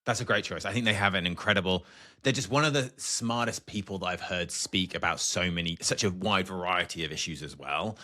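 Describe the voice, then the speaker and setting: Deep-voiced, affirming male podcast host. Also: brisk, sharp tone. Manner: affirming and enthusiastic. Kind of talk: conversational interview.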